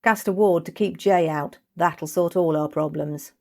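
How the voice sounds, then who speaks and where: A woman's voice: low-pitched, a little slow, gravelly, a little mellow. Woman as a blunt, sardonic young housemate. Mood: gleeful, mocking.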